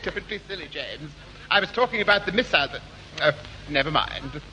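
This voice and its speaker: A man's voice: on the high side, expressive, brisk, pushed and bright. A man playing a timid, prim English amateur play producer, hesitant and fussy, in a 1960s British radio sitcom. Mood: flustered. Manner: hesitant, prim, nervous chuckling. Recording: faintly noisy 1960s radio broadcast.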